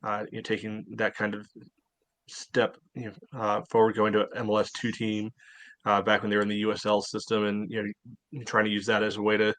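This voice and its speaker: Flat-voiced man rambling on a podcast. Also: lowish voice, fairly quick, no echo. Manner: rambling, many "you know" fillers. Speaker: podcast co-host. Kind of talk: casual sports chat.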